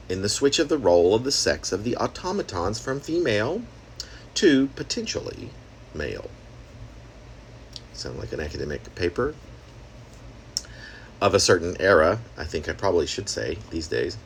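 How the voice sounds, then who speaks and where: Deep-voiced man reading his poetry aloud. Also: creaky, a little hiss or hum. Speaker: poet reading own work. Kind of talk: spoken reading of experimental prose poem with asides.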